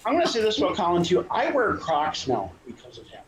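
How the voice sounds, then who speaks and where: A man's voice: high voice, wildly swooping pitch, quick pace. A man chatting casually on a panel in a joking, teasing manner. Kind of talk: casual chat. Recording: echoey room with background noise.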